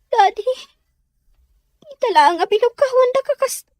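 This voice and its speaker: A woman's voice: very high voice, expressive, unhurried, a little mellow, no echo, studio quality. A woman with an Ilocano accent playing a sullen, defensive young student daughter in an audio drama. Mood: defensive, pleading.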